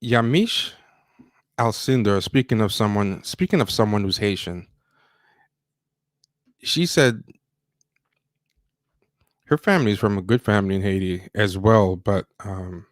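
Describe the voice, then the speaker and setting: A man with a fairly low voice hosts a casual livestream. He talks through news commentary loosely, stopping, restarting and wandering between points. The sound is dry, with very little room echo. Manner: rambling, halting, self-interrupting. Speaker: livestream host.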